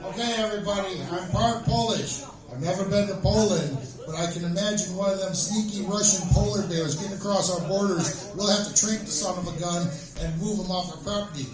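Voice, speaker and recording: A man doing an amateur stand-up set on stage, telling jokes in a halting, rambling way. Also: high-pitched, over music. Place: small live comedy venue, stage. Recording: echoey room, distant microphone.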